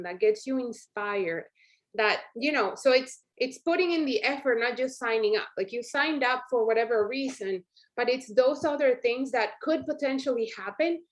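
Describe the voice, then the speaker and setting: High-voiced woman leading a team call. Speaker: team leader hosting a group call. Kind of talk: informal motivational team talk.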